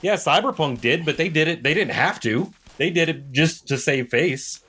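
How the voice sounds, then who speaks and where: A man's voice: fairly high pitch, expressive, moderate pace, fairly bright tone, husky. A man co-hosting a podcast in casual chat, opinionated and emphatic, often repeating points for stress. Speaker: podcast co-host.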